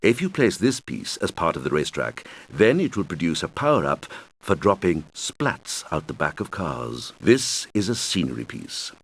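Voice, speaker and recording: A man's voice: sits low, very animated, moderate pace, heavily acted. A man voicing a helpful video game guide who patiently explains things to young players in scripted instructional lines. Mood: patient, instructive. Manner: patient, clearly explanatory, addressing children. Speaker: game tutorial guide character.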